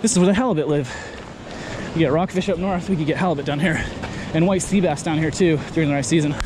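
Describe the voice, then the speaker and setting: A man's voice: a little high, fast. A man giving casual, slangy fishing commentary as an enthusiastic vlog host, often repeating short phrases. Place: outdoors on a rocky ocean beach, wading. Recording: noisy outdoor action-camera audio with surf. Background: waves and surf.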